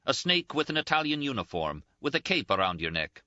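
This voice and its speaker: A man voicing a tearful, scolding young Scottish nurse, shrill and sobbing in an audiobook. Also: sing-song, fairly quick, bright, forceful tone, no echo, highs cut off. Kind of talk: audiobook character voice.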